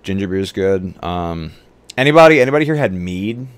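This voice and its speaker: A man streamer chatting casually on a livestream in a halting way, often restarting his sentences. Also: low-pitched, expressive, smooth, no room sound.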